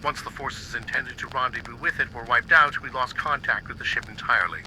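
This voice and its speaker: Brisk senior officer, quick, bright edge, faint engine rumble, played by a man. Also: low-quality recording. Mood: formal. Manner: brisk, formal briefing tone. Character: stern male military commander, secretive, brisk. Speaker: commander.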